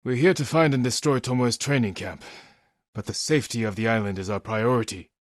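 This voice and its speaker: An actor playing a stoic, honorable samurai warrior in a video game, speaking in a measured, earnest and restrained way. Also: male voice, medium pitch, no echo, clean studio sound, close to an everyday voice. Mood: firm, pressing. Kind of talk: scripted video game voice acting.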